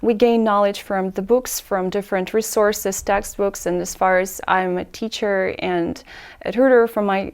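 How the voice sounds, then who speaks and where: A woman, an English tutor answering interview questions in a measured way that lays out her points in order. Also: mid-range voice, smooth. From Ukraine.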